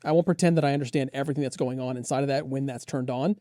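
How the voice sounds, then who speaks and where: A man with a relaxed, mellow voice at a middling pitch talks through product specs as a reviewer and co-host. The sound is close and dry, and his delivery is conversational, detailed and keen. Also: very fast, dry recording. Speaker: audio gear reviewer and co-host.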